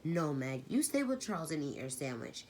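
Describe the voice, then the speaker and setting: Calm storybook mom, woman reading, low voice, brisk and light.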